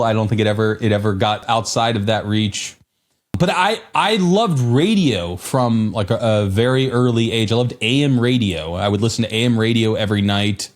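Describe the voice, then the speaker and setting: A man co-hosting a podcast, chatting in a relaxed, easygoing way and reminiscing. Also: medium pitch. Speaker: podcast co-host. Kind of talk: casual podcast chat.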